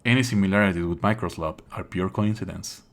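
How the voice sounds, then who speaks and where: A man live-streaming casual commentary on a game playthrough, sardonic, exasperated and quick to swear. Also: sits low, slight background noise.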